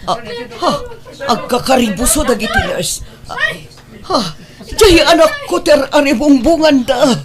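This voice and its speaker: A woman voicing an older mother in an audio drama, speaking in a husky, halting and anxious way. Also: high-pitched, animated, over music. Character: aging mother of a dying son, distraught.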